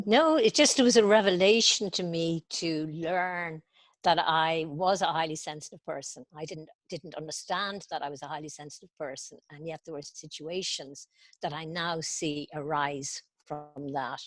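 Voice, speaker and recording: Woman, lowish voice, kinda halting, stops and restarts a lot. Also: no room sound. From Ireland. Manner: hesitant, searching for words, animated when describing overwhelm. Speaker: guest, EFT practitioner. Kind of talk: casual conversation in a tapping session.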